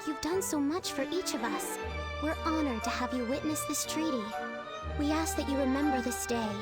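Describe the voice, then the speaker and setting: Woman acting a high-voiced, earnest young princess. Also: normal speed, lightly acted. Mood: warm, formal. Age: young adult. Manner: sincere, formal, earnest. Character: gentle, noble young princess becoming empress. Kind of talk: fantasy game cutscene acting. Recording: loud music under the voice.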